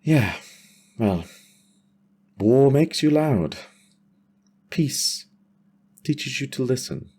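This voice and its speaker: A man playing a battle-worn dragon rider and father in a fantasy audio drama, weary but hopeful, reflective and quietly wry. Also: medium pitch, animated, very slow, pauses often, husky, pitched above the performer's own voice, heavily acted.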